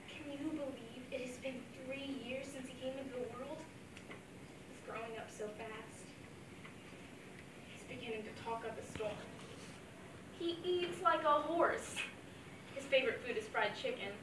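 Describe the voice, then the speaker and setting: A young woman plays a grieving mother speaking to her late father, her high voice carrying heartfelt, wavering feeling. The sound is roomy and echoey, caught from a mic set well back from her. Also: far from the mic, noisy background. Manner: heartfelt, wavering between fond memories and anguish. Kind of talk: dramatic monologue.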